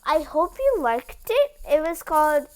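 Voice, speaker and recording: A woman reading a picture book aloud, slightly halting, with casual, chatty asides. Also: sits high, big pitch swings, a little mellow. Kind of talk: animated storybook read-aloud with casual asides.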